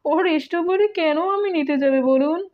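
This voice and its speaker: A woman's voice: very high voice, fairly quick. A woman voicing a sly, greedy fox uncle in a children's cartoon, teasing and evasive as he feigns innocence. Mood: defensive. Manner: teasing, evasive, self-justifying. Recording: dull lo-fi sound, faint music.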